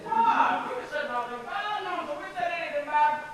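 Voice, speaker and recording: A man's voice: very high-pitched, animated, moderate pace, distant mic, lo-fi sound, a loud crowd. A man playing a blunt, teasing old Italian-American grandfather in an amateur stage comedy. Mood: blunt, playful. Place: hall stage, distant camera. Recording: echoey stage recording from afar.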